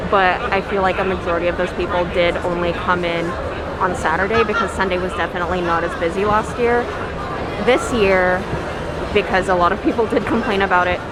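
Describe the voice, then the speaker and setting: A woman vlogging from her artist alley table at a convention, chatty and rambling, her voice a bit hoarse from talking all day. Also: medium pitch, poor audio. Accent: Californian. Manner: chatty, rambling, with light laughs. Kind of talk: casual vlog commentary. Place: busy convention hall. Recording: loud crowd noise. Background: loud crowd chatter.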